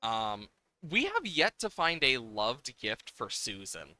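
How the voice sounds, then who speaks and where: A man's voice: mid-range voice, wildly swooping pitch, slow pace, bright, forceful tone. A man giving casual, playful live commentary on a game, thinking aloud as he plays, with game music behind him. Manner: playful, hums and makes sound effects while talking.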